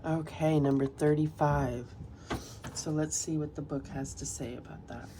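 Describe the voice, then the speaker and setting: A woman's voice: deep voice, fairly even intonation, slight background noise. A woman reading tarot cards and reading passages aloud from a guidebook, slightly nasal from a cold. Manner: soft, unhurried, reads passages aloud. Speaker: tarot reader.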